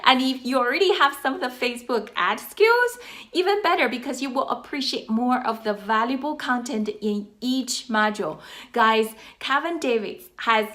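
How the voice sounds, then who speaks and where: A woman's voice: on the high side, animated, normal speed, fairly bright tone. A woman with a Chinese accent giving an enthusiastic, emphatic review of an online course, talking casually to her viewers. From China. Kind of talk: casual product review to camera.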